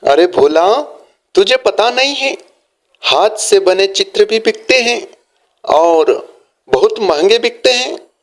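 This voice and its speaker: A man's voice: high-pitched, expressive, slow, long pauses. A man voicing a helpful young merchant's son in a cartoon moral story, eager and explanatory in manner. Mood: encouraging.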